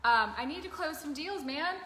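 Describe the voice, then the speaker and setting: A woman talking casually about herself as a coaching client, halting and peppering her sentences with "like". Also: high-pitched, expressive, bright, forceful tone. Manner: halting, conversational, heavy use of "like". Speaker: coaching client working in real estate. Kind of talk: casual personal chat. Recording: echoey room with background noise.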